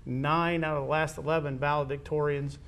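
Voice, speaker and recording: A man's voice: flat delivery, brisk, a bit bright. A man with an American accent hosting a conversational interview about school athletics as an administrator. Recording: background noise with low rumble.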